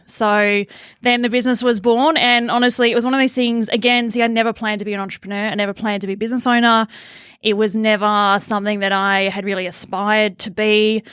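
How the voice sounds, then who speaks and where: A woman's voice: medium pitch, fairly bright tone, smooth, no echo, studio quality. A middle-aged Australian woman from Tasmania, telling her story casually as an interview guest. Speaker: podcast guest, accountant and business owner.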